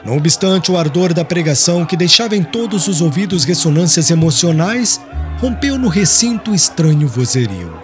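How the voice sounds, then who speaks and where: A man with a Brazilian accent narrating a literary audiobook in an expressive, scripted style. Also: a little high, moderate pace. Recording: music bed under the voice.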